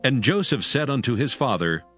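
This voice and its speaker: A man's voice: mid-range voice, very animated, normal speed, a bit bright, husky, soft background music. A man giving scripted narration of scripture for an audio drama.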